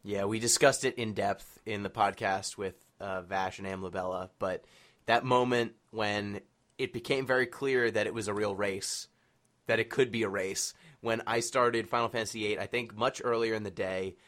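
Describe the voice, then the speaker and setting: A man's voice: fairly low pitch, fairly even intonation, normal speed, a bit bright. A man hosting a podcast, chatting casually with his guests in an interview. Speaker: podcast host.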